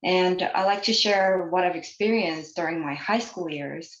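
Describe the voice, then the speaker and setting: A young woman from New York, speaking as a panelist and sharing personal remarks in an earnest, measured way over a video call. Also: on the low side, reverberant, highs cut off.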